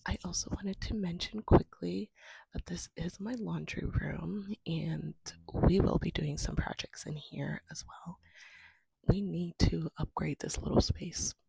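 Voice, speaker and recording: Hoarse, gravelly woman vlogging at home. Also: lowish voice, steady tone, unhurried, dry recording, low-quality recording. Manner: soft, half-whispered delivery. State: hoarse from a cold, sniffling. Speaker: homestead vlogger. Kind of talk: casual vlog chat. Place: at home, indoors.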